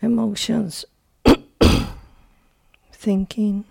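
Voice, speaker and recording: A woman teaching guided meditation, speaking in short, unhurried phrases with long pauses between them. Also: medium pitch, level tone, gravelly, warm tone, slightly rough, no room sound.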